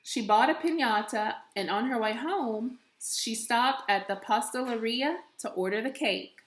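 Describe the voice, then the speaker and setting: A woman reads a picture book aloud in an echoey room. She takes it very slowly, with deliberate and expressive phrasing meant for young children. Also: on the high side. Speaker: elementary school teacher reading aloud.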